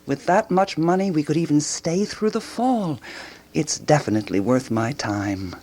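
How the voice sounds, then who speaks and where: A woman's voice: low voice, moderate pace, warm tone, slightly rough. A woman playing a self-assured amateur detective of 1920s Paris in a radio drama, emphatic and theatrical. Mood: intrigued, scheming.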